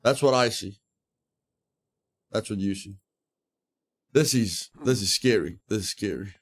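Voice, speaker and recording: A man's voice: on the low side, unhurried. A man streaming a survival game, giving live commentary, repeating his phrases and yelping with mild exclamations when startled.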